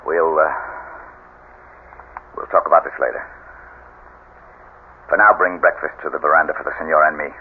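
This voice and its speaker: An actor playing a brooding, commanding husband with a secret, in the style of a 1970s radio drama. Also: male voice, extremely low pitch, fairly even intonation, normal speed, pauses often. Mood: stern, commanding. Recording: tinny, band-limited old radio with faint hiss.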